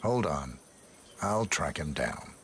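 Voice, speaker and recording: A very low-voiced cold assassin in a video game. He speaks in clipped, measured phrases with a deadpan delivery and gives little away. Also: slight background noise, heavily acted. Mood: cool, controlled. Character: terse, cold male professional assassin posing as a fixer. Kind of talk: video game cutscene acting.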